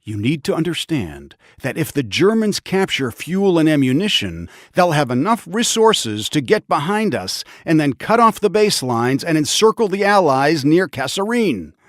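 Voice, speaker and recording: A commanding army officer in a war video game. His fairly high voice swings widely as he earnestly and urgently lays out orders to his squad. The sound is close and dry. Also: big pitch swings, moderate pace, few pauses, no echo. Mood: grave, businesslike. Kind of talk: military mission briefing in a war game.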